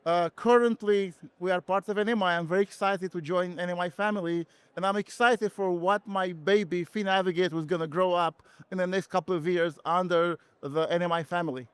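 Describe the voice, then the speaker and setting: A middle-aged man with a Romanian accent, telling his own story earnestly and haltingly as an interview guest. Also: high voice, steady tone, smooth, dry recording. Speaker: interview guest, company founder. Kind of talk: personal story in a podcast interview.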